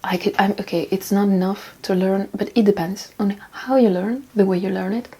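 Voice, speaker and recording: Husky, mellow woman, rambling casual talk. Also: medium pitch, steady tone, normal speed. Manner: rambling, repeats phrases for emphasis. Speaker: self-improvement vlogger. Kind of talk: casual talk, giving tips and reading out comments.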